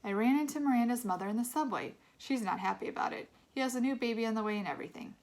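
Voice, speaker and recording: A woman's voice: fairly high pitch, fast, a little mellow, natural voice. A woman voicing an easygoing, teasing father in a storybook, with a casual, chatty manner.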